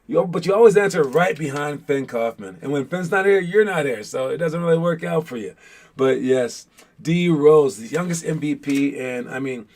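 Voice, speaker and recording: A man's voice: a little high, a little mellow. A man hosting a basketball show as casual chat with viewers, talking in an informal, rambling way with playful teasing.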